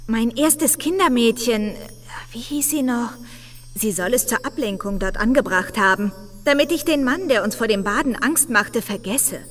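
A sweet, wheedling young heroine in an adventure game. Her voice sits fairly high, with a long reverb tail from the game audio and soft music underneath. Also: expressive, normal speed. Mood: sweetly persistent. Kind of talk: game dialogue.

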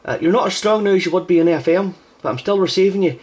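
A man's voice: sits high, expressive, fast talker. A man operating an amateur radio, chatting casually with another operator over a two-way link.

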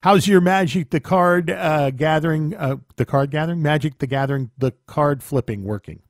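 A man's voice: a little high, normal speed, no room sound, clean studio sound. A man co-hosting a game show podcast, opening with upbeat energy and chatting casually. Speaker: podcast co-host. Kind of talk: casual chat.

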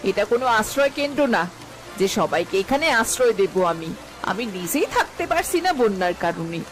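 A woman's voice: mid-range voice, very animated, brisk, a little hiss or hum, close to an everyday voice, poor audio. A woman voicing a stingy, scornful housewife in a cartoon fairy tale, nagging sharply, with music behind her. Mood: scornful scolding. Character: scolding, stingy housewife turning away poor flood refugees.

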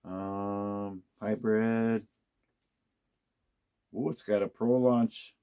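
A man's voice: on the low side, warm tone. A man casually talking through an unboxing of used golf clubs, musing aloud to himself as he inspects each one. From United States. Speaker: golf club unboxing host.

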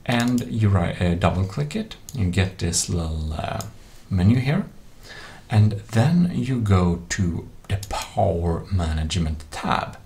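A man's voice: deep voice, big pitch swings, slow pace, creaky, a little mellow, slight background noise. A man with non-native English phrasing, narrating a computer tutorial in a casual, explanatory way. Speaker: tech tutorial presenter. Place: voice-over for a screen recording.

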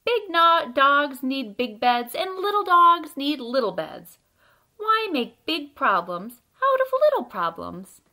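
A woman's voice: sits high, big pitch swings, slow, bright, forceful tone, voice pushed up, exaggerated voice. A woman voicing a helpful, matter-of-fact bird from a storybook, giving advice with confident emphasis.

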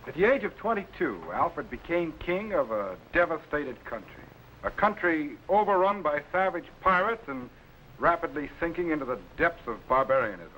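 An earnest, higher-voiced space captain. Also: male voice, lively intonation, normal speed, bright, forceful tone, poor audio. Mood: earnest, admiring. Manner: didactic, formal. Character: earnest, authoritative space captain and time-travelling mentor. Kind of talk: 1950s children's television adventure acting. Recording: vintage TV film soundtrack, faint noise.

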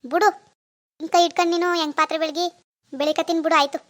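Rustic mother-in-law, played by a woman: high-pitched, brusque, bossy, for homespun family drama. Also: steady tone, quick pace, a bit bright. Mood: gruffly concerned. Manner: brusque commands in rustic speech. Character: scolding, bossy but easily softened rural mother-in-law. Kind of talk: amateur domestic drama acting.

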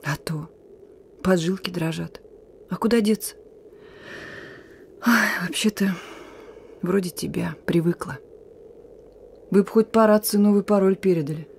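An actress playing a plucky young partisan courier in a radio drama, speaking in a familiar, teasing, warm-hearted way. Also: female voice, lowish voice, measured pace, vocal fry, pauses often, a little hiss or hum. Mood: frank, subdued. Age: young adult.

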